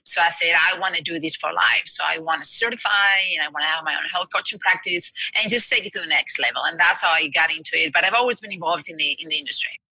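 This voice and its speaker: Bright-voiced Spanish-accented woman. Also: low voice, fast, few pauses, slightly rough, no echo, rough recording. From Spain. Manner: earnest, explanatory, with small restarts. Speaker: health coach guest. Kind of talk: interview answers.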